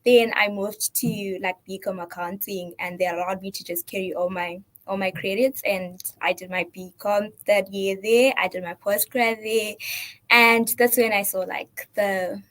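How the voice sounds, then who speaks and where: A woman's voice: mid-range voice, steady tone, unhurried, fairly bright tone, low-quality recording. Giggly young woman from Zimbabwe. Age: 20s. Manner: giggly, lots of "like" fillers. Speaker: guest, trainee accountant. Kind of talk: casual interview answers.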